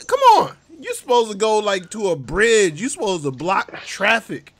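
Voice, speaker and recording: High-voiced joking podcast host, man. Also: moderate pace, no room sound. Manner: animated, loud slangy riffing.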